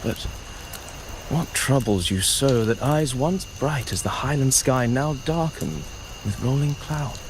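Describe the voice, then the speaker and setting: A man's voice: medium pitch, expressive, takes it slowly, background noise. A man playing a wise, cryptic traveling poet in a video game, with florid, solemn, theatrical storyteller delivery. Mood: solemn, ominous.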